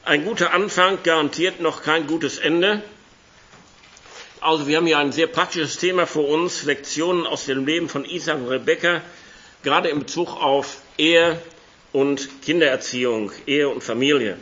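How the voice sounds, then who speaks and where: Male preacher with a hoarse voice, bright and forceful, slightly muffled lo-fi sound; conversational sermon with scripture reading. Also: fairly high pitch, moderate pace. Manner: conversational, reads scripture aloud. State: hoarse from a cold. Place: church hall.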